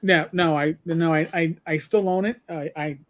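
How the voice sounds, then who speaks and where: A man's voice: on the high side, fairly even intonation, moderate pace. A middle-aged man co-hosting a gaming livestream, rambling with stammered restarts and the odd swear. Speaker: livestream co-host. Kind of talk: casual gaming chat.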